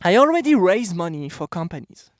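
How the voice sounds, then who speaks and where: A man in his thirties with a French accent gives a casual motivational talk straight to camera. His voice sits high for a man and is recorded close and dry. He talks bluntly and emphatically. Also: big pitch swings, a little fast, no echo. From France. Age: 30s. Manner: blunt, emphatic, repeats "okay?". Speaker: vlogger. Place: outdoors.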